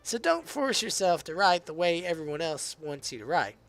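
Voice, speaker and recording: A hoarse man reading the lines of a poised teenage girl who leads a literature club in a visual novel. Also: on the high side, big pitch swings, moderate pace, a bit bright, soft background music. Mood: encouraging. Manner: reads visual novel dialogue aloud.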